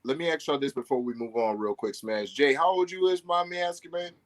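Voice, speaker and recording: A man in his thirties hosting a casual podcast chat, jumping in and teasing his guests. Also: medium pitch. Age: 30s. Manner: lively, teasing, quick to jump in. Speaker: podcast host.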